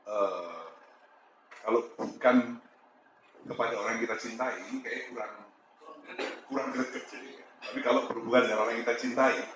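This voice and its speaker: A man's voice: fairly low pitch, fairly bright tone. A man in his fifties from Malang with a Javanese accent, candidly telling the story of his business to an audience with some chuckles. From Malang, East Java. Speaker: business owner giving a talk. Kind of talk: motivational talk to an audience. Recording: echoey room with background noise.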